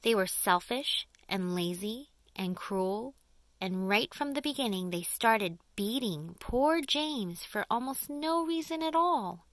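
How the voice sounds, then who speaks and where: A woman narrating a children's storybook expressively, with deliberate, dramatic phrasing and emphasis. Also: on the high side, animated, no room sound. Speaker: audiobook reader.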